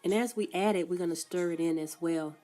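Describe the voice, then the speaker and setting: A woman's voice: fairly low pitch, fairly quick, dark tone, soft background music. Congested woman giving cooking steps. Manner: plain step-by-step instructions with frequent coughs. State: congested from a cold. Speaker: cook. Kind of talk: casual cooking demonstration. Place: food truck kitchen.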